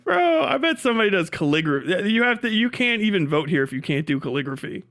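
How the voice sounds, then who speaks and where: High-voiced American man ranting casually. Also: big pitch swings, fast talker, fairly bright tone. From United States. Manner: crude, mocking, rambling. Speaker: livestream host reacting to a video. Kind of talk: casual profane commentary.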